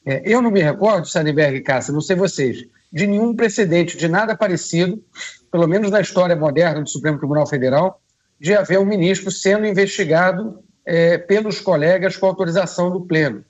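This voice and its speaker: A man with a cold giving measured radio news commentary, pausing often with hesitations. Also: sits high, muffled recording. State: hoarse from a cold. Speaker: political commentator.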